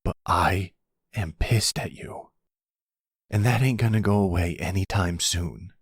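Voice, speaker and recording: A man's voice: low voice, a little slow, breathy edge, dry recording, studio quality, full character voice. A man playing a hot-headed, slangy young son and loyal brother, blunt and exasperated, in an audio roleplay. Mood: hurt, angry. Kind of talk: ASMR audio roleplay drama.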